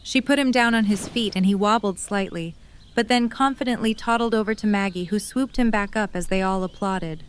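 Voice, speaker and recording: Woman, narrator, scripted storytelling, middle pitch. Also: fairly quick, slight background noise.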